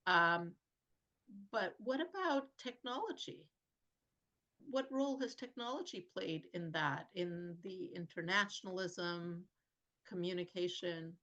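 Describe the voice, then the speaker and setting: A woman's voice: lowish voice, a little slow, bright, forceful tone, lo-fi sound. A woman hosting a podcast interview, asking musing questions and offering short encouraging affirmations. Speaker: podcast host. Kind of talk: conversational interview.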